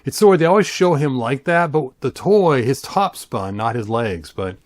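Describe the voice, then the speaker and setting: Male storytime host, average pitch, casual and enthusiastic, playful asides, dry room-free recording. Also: expressive, moderate pace, no room sound. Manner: playful, enthusiastic fan asides with vocal sound effects. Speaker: YouTube host reading a children's picture book aloud. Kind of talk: relaxed chatty read-through with commentary.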